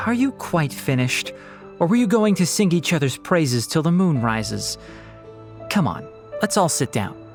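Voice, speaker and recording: A sardonic young scholar in a game, highish lively voice, precise and dry, music under it. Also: male voice, unhurried. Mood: impatient, sardonic. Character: sharp-witted, sardonic young male scholar. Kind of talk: video game voice acting.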